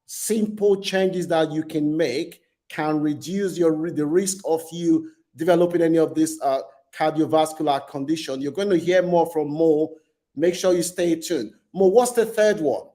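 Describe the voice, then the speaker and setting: A man's voice: fairly high pitch, normal speed, mellow. A man presenting and asking questions as an interviewer, in an earnest informational style about everyday matters.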